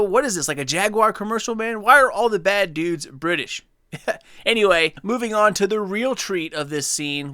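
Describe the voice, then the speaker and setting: A man's voice: a little high, pushed and bright, no room sound, studio quality. A young man hosting a gaming channel, chatting enthusiastically and speculating as he breaks down a trailer. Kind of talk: casual video commentary.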